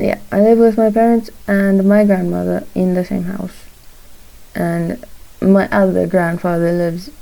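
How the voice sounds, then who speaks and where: A shy young woman who grew up in Morocco chats casually while drawing at home, halting often and laughing between thoughts. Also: medium pitch, gravelly, warm tone, low-quality recording. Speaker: vlogger, aspiring character designer.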